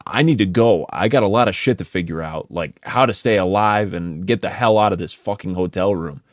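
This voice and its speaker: A man voicing a frantic man shouting an urgent warning to his family, swearing as he goes. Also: sits low, moderate pace, no room sound, studio quality. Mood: frantic, yelling. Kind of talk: audiobook character voice.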